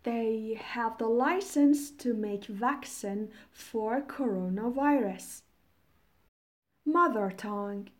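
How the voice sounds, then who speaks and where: A woman from Iran, a native Farsi speaker, teaching English vocabulary in a deliberate, explanatory way with definitions and examples. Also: on the high side.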